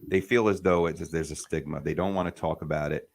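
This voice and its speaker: A man hosting a casual podcast chat, speaking in an earnest and measured way with frequent pauses. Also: extremely low pitch, steady tone, gravelly.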